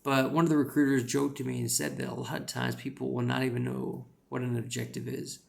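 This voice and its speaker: A man's voice: mid-range voice, flat delivery, brisk, creaky. A man with a rural American accent giving casual, rambling advice as an English teacher, with lots of "you know" fillers.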